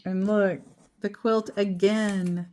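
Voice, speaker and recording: A woman reading a children's book aloud as a storyteller, deliberately, pausing between short phrases and adding casual asides. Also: medium pitch, steady tone, a little mellow, slight background noise.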